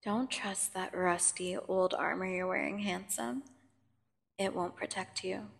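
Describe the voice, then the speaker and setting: A woman playing a menacing, seductive vampire prisoner in an ASMR audio drama, taunting and condescending with theatrical pauses. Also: on the low side, steady tone, gravelly. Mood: threatening, seductive. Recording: long cavernous reverb.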